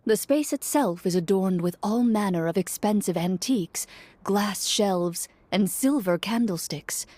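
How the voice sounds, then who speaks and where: A woman acting as a composed game master, narrating a fantasy adventure in a measured storytelling manner. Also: mid-range voice, animated, darkish tone, no room sound. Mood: measured, ominous. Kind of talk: scripted atmospheric narration. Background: background music.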